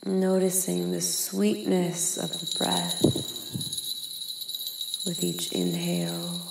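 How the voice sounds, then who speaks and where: A woman guides a meditation very slowly, in an even, lowish voice with a crackle of fry. She is soft and soothing, leaving long pauses. Her words hang in a long echo over singing bowls and music. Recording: long echoing reverb. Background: singing bowls and ambient music.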